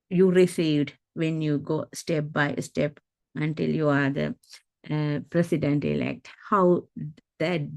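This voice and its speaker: A woman from Sri Lanka, moderating a club event and asking an earnest question, halting and searching for words. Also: low voice, no room sound. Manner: halting, with fillers and restarts, earnest.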